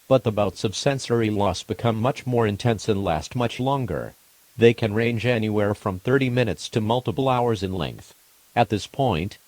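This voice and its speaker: A man with a lowish voice reads out an emergency alert in a formal, impersonal manner. His delivery is measured and scripted, like an official announcer. The sound is dry, with very little room on it. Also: no echo.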